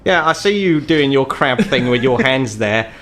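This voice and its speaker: A British man runs a tabletop roleplaying game as its game master. He narrates what characters do in a mid-pitched voice. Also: lively intonation, normal speed, a bit bright.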